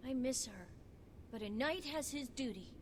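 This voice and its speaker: A woman's voice: high voice, expressive, normal speed. A woman voicing a young boy, an earnest and devoted little knight, in a video game cutscene. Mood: wistful, earnest. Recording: noisy game stream capture.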